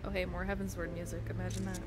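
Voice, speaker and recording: A woman's voice: fairly low pitch, flat delivery, racing, over music. A woman hosting a game playthrough, chatting casually and reading unvoiced dialogue aloud.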